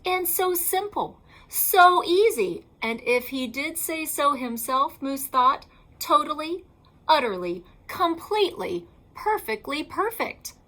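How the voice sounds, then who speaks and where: Woman storyteller for a picture book: slow, deliberate pacing, high register, emphatic delivery. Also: sing-song, sharp tone. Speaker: elementary school teacher reading aloud. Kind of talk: expressive children's picture book read-aloud.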